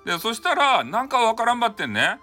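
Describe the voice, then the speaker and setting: Male storyteller, Hakata accent, bright animated voice, chatty folksy bedtime-tale delivery over clear background music. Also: very high voice, fast talker. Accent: Hakata (Fukuoka) Japanese. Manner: chatty, folksy, frequent asides. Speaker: storyteller, host of audio show. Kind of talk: casual improvised folk tale retelling for bedtime.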